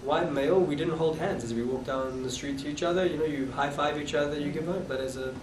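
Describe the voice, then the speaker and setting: A man in his thirties with a South African accent, recalling his past with halting restarts in an oral history interview. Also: on the high side. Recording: echoey room, distant mic, noisy.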